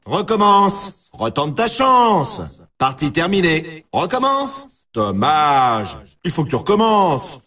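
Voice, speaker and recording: A man voicing an excitable, brash announcer for an arcade snowboard racing video game, with punchy, showy, emphatic delivery. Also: high voice, breathy edge, recorded from a distance, full character voice. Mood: disappointed, taunting.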